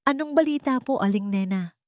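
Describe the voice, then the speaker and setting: A woman's voice: sits high, lively intonation, a little fast, darkish tone, dry recording, voice pushed up. A tender, distraught young woman from a romantic audio drama, as a woman narrator plays her. She speaks with pleading emotion, in a very dry recording. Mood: anxious disbelief.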